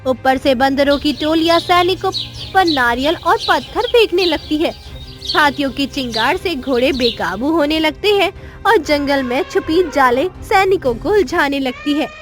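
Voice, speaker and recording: A woman with a high voice reads scripted narration for a children's cartoon tale. Light music plays underneath. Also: expressive, normal speed. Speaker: story narrator.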